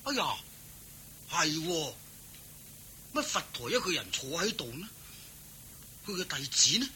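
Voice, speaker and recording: An excitable, pious father in a Cantonese radio play from Hong Kong. His voice is very bright and swoops with exclamations and questions, over faint background noise. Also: fairly high pitch, unhurried, full character voice. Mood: amazed. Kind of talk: radio drama acting.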